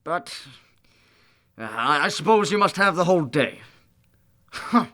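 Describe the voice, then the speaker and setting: A grumpy old miser in a radio drama, played by an actor, with a bright, biting voice. He is curt and dismissive, grumbling with cold scorn at everyone around him. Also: mid-range voice, animated, measured pace. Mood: grumpy, indignant.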